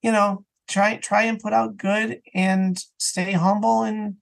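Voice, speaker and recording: Higher-pitched man chatting as podcast guest. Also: little pitch movement, takes it slowly, creaky, rough recording. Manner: opinionated, emphatic, conversational. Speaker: interview guest, audio drama writer and actor. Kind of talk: casual podcast interview.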